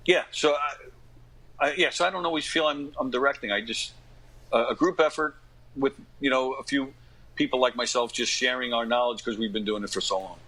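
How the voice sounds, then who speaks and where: An American man in his fifties talking casually as an interview guest, in a rambling, self-effacing, animated way. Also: mid-range voice, a little fast, poor audio. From United States. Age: 50s. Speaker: guest, actor and comedy dinner theater director.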